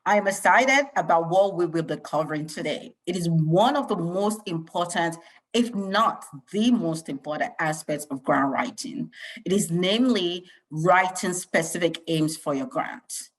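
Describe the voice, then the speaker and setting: A middle-aged woman opens and hosts an interview segment. She speaks with emphatic enthusiasm, and her voice sits on the lower side. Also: unhurried. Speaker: host. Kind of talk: hosting an interview.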